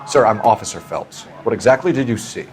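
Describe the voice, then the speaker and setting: Deep-voiced, stern American police officer played by a man. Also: animated, a little fast, a little hiss or hum, natural voice. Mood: businesslike, probing. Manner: clipped, authoritative, formal. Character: stern young male police officer turned investigator, forceful when questioning. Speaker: police officer character in a detective game. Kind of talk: dramatic video game acting, 1940s crime drama.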